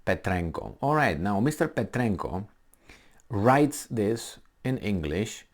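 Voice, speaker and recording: A man's voice: on the low side, sing-song, slow pace. A man with a Romanian accent, a native Romanian speaker, reading a news article aloud and commenting on it in a casual, rambling way, with lots of fillers and self-corrections.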